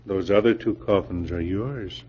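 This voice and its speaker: An actor playing a murdered husband's ghost, calm, measured and reproachful, in 1940s radio drama style. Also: male voice, low voice, slightly rough. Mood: eerily calm. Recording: muffled, noisy old-time radio recording.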